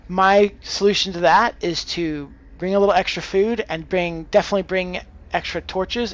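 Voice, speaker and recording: A man's voice: high-pitched, lo-fi sound. A man giving casual live gaming commentary and tips, thinking aloud as he plays. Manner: hesitant, thinking aloud, exclaiming at the game. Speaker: game streamer.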